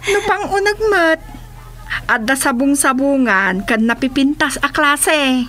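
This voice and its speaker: Radio comedy role: showy peddler, Ilocano Filipino, high-pitched, drawn-out sales patter. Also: female voice, animated, a bit bright, exaggerated voice. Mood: salesy, eager. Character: pushy, showy woman peddler selling assorted goods. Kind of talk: comedy radio drama acting.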